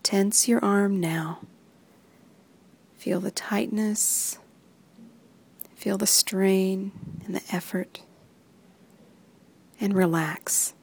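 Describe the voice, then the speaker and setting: A woman reading a guided muscle relaxation script in soft, even instructions that often sink into a whisper. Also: mid-range voice, drawn-out words, creaky, long pauses, breathy edge.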